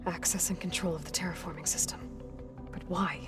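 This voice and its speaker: An actress playing a determined young huntress who asks direct, probing questions in a game cutscene. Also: female voice, lowish voice, fairly even intonation, a little fast, music in the background, lightly acted. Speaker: game protagonist. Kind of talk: video game cutscene acting.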